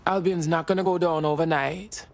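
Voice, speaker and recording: An actress playing a resolute, confident resistance fighter in a video game cutscene. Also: female voice, low voice, steady tone, normal speed. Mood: firm, defiant.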